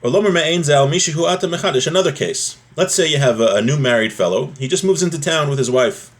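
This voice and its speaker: A man teaching a religious text class in an informal, explanatory way, with a halting, repetitive delivery. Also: on the high side. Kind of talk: spoken lesson, reading Hebrew text and explaining it in English.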